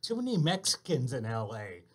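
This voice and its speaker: A man hosting a casual radio chat, telling a relaxed anecdote. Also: mid-range voice, normal speed. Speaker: radio host.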